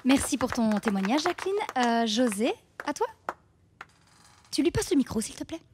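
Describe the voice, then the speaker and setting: An actress playing a brisk, encouraging facilitator of a support group in a radio drama. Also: female voice, a little high, lively intonation, background noise, lightly acted. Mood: brisk, attentive. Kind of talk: naturalistic radio drama acting.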